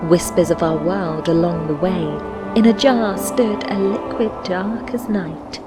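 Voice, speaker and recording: A woman voicing a dreamy, curious adventurer, reciting rhyming verse as slow, measured storybook narration in a fantasy game. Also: lowish voice, fairly even intonation, natural voice. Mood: reverent, mysterious. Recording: music under the voice. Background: music.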